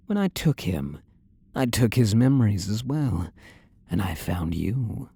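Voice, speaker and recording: Slow, seductive demon lover played by a man. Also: lowish voice, big pitch swings, creaky, dark tone, breathy edge, no echo, heavily acted. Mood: possessive, seductive. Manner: slow, commanding, sinister purr, often dropping to whispers. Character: possessive, seductive male demon lover in a human body. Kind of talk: intimate audio roleplay addressed to the listener.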